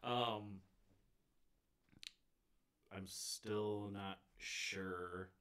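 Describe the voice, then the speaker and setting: A man's voice: lowish voice, steady tone, very slow, fairly bright tone, clean tone. A man in his forties, a DJ, chatting casually among friends. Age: 40s. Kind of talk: casual chat.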